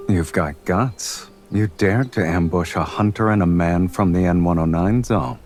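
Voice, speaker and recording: An actor playing a proud, teasing and quietly affectionate crime boss in a romance game, speaking unhurriedly over guitar music. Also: male voice, low voice, measured pace. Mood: stern, dry.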